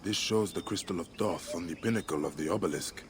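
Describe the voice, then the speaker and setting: Man playing low-voiced, solemn ancient warrior. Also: a little fast, noisy background, close to an everyday voice. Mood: calm, curious. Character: stern, steady male ancient Egyptian warrior protector. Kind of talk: dramatic video game acting. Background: loud music.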